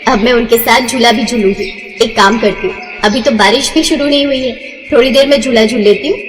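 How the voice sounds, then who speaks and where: A woman voicing a kind, eager young goddess in girl's disguise, gentle, coaxing and cheerful, in a devotional story. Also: fairly high pitch, brisk, reverberant, in a large hall, soft background music, close to an everyday voice. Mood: delighted.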